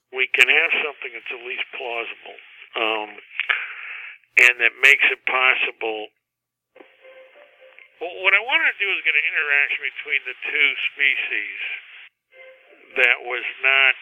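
A man, an author guest in a casual interview about writing, speaking in a halting, candid, self-deprecating way. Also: medium pitch. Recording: muffled phone-like radio line.

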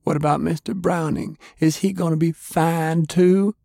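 Man putting on a high-pitched feisty young girl. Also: fairly even intonation, measured pace, gravelly, dark tone, no echo, clean studio sound. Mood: agitated questioning. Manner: accusing, insistent. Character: upset, feisty young girl, demanding answers.

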